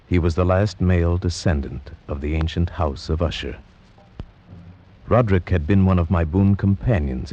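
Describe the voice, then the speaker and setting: An actor narrating as a grave gentleman recalling a dreadful visit, in 1940s radio drama style. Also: extremely low pitch, a little hiss or hum. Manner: solemn, measured storytelling. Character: grave gentleman, friend of a doomed man. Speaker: narrator in character. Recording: muffled old radio with music underneath.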